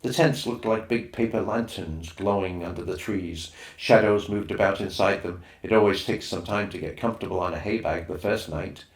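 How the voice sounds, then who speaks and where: A man's voice: sits low, normal speed, few pauses. A man reading a children's adventure novel aloud as scripted narration. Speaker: audiobook reader. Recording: roomy, echoing room sound.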